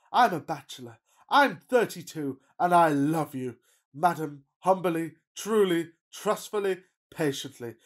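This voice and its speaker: A woman playing a pompous, amorous gentleman bachelor with florid, mock-solemn delivery in a radio-play farce. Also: fairly low pitch. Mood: ardent wooing.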